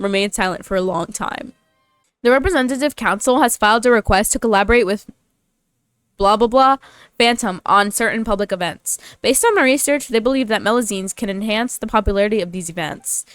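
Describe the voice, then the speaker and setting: A woman's voice: medium pitch, a bit bright, no room sound. A woman gaming YouTuber giving casual, informal playthrough commentary in a chatty way. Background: faint game music.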